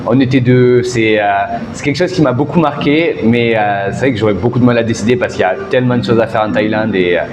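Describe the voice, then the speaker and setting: A man's voice: medium pitch, fast talker, few pauses, reverberant, long reverb tail, background noise. A man in his twenties from southern France, chatting enthusiastically in a street interview with many hesitations. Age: 20s.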